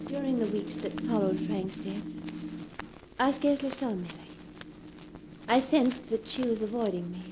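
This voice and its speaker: A woman's voice: medium pitch, noisy background, lightly acted. An actress in an old radio play voicing an earnest young woman who also narrates. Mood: narrating. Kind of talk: 1940s radio acting.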